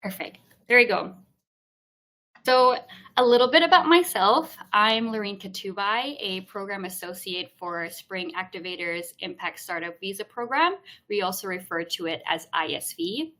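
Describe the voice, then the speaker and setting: Bright-voiced woman presenting a webinar. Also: mid-range voice, lively intonation, moderate pace. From Hong Kong. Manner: friendly, explanatory, frequent "um" fillers. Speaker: program associate presenting an info session.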